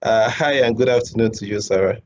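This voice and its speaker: Hesitant man hosting, lowish voice. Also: a little fast. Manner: hesitant, many uh fillers and repeated words. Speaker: show host. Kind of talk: interview hosting. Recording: dull lo-fi audio, faint background hum.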